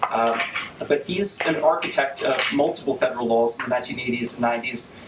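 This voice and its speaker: A man asking a press briefing question as a reporter, with careful, halting phrasing. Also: medium pitch, reverberant, heavy background noise.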